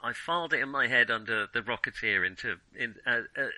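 A man's voice: mid-range voice, brisk, pushed and bright, dry recording, low-quality recording. A man co-hosting a casual film chat, often hesitating as he searches for words. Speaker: podcast co-host.

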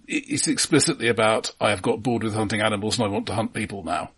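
A man co-hosting a film podcast, talking casually in a halting but precise, explanatory way. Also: fairly low pitch, crowd noise.

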